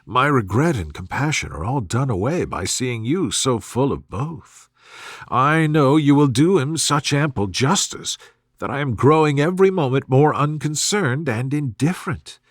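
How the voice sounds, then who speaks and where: A man reading the part of a witty, decided young gentlewoman in a storybook, teasing her sister in formal, wry phrases. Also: medium pitch, expressive, moderate pace, no echo, clean studio sound, natural voice.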